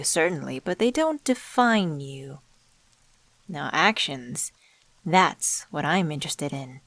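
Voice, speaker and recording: A mysterious female stranger acted by a woman in an ASMR roleplay drama, speaking in a somewhat low voice with a very dry, close sound. She is suave and measured, posing rhetorical questions with teasing, smoothly condescending confidence, as if she knows everything about the listener. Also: no room sound, heavily acted. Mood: knowing, probing. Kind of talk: scripted ASMR roleplay monologue spoken to the listener.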